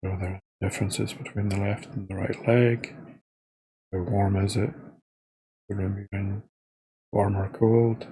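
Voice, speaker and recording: A man with a low, mellow voice guides a body scan meditation. His delivery is unhurried and informal, with halting, trailing phrases. The tone is notably husky, without any polish of a script. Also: level tone, poor audio.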